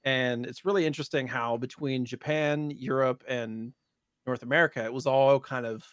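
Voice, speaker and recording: A man's voice: medium pitch, fairly even intonation, normal speed, clear, resonant tone, no echo, clean studio sound. A man co-hosting a gaming podcast, chatting casually and explaining things in an informal way. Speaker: podcast co-host.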